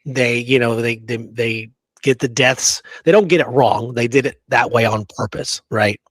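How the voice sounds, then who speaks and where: Man, mid-range pitch, history show co-host, casual, emphatic, dry close sound. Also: fairly even intonation, normal speed, fairly bright tone, dry recording. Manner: emphatic, often checks for agreement with "right?". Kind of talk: casual chat about Western history and films.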